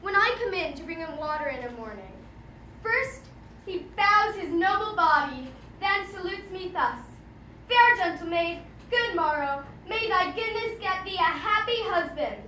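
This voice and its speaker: A lovesick teenage girl, played by a woman on stage, confides in old verse with impulsive emphasis, swinging between loud and soft. The voice is very high and bright, heard at a distance with echo. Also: expressive, a little slow, exaggerated voice. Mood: infatuated. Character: lovesick, impulsive teenage jailer's daughter confiding her longing. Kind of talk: theatrical classical monologue. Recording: echoey, distant mic, faint noise.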